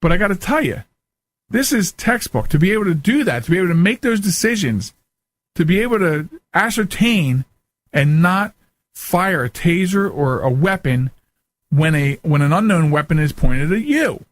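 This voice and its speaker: A man's voice: high voice, animated, normal speed, no room sound. A man hosting a radio show, talking casually and informally in a folksy, conversational way as he gives his commentary.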